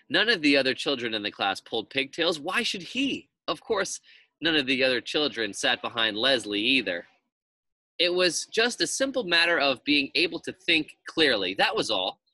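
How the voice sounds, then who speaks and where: A man narrating a children's story aloud in an expressive storytelling style. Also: medium pitch, no echo.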